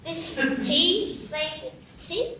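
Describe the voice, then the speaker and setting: Curious, polite young girl played by a woman; very high, lively voice, echoey distant stage. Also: takes it slowly, exaggerated voice. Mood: puzzled. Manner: inquisitive, exasperated. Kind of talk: live radio play acting. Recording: echoey stage from far off, noisy.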